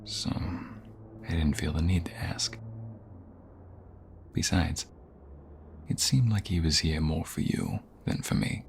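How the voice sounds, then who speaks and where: A man plays a devoted, protective husband in an intimate ASMR roleplay. His voice is very low with a gravelly fry, and he speaks slowly and softly, often slipping toward a whisper to reassure his partner. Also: heavily acted. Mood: gentle, sincere. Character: protective, tender husband on the run from the mob.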